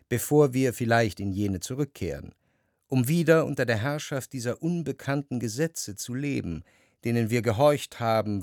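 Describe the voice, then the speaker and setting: A man's voice: medium pitch, darkish tone, dry recording. Man's dry, close, reflective narration. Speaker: first-person narrator. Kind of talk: literary radio drama narration. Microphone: close, dry studio.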